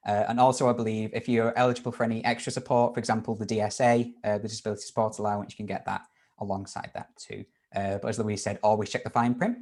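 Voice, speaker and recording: A man's voice: lowish voice, flat delivery, quick pace, darkish tone. A man hosting a university webinar Q&A on a video call, upbeat and informal with lots of fillers and restarts. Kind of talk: live webinar Q&A.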